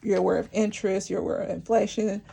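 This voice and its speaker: A woman giving a rambling, encouraging tarot reading to her viewers, her voice worn and going hoarse, with many fillers and restarts. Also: medium pitch, fairly even intonation, quick pace, warm tone. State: losing her voice, clearing her throat. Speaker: tarot reader. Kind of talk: intuitive tarot reading to camera.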